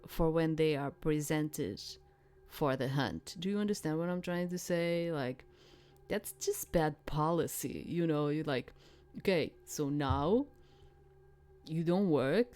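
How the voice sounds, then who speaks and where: A woman with a low voice and a slow pace comments on a game as she plays. She talks casually and conversationally, with exasperated asides about the story. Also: a little mellow. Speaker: game streamer. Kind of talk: visual novel playthrough, reading aloud with casual commentary.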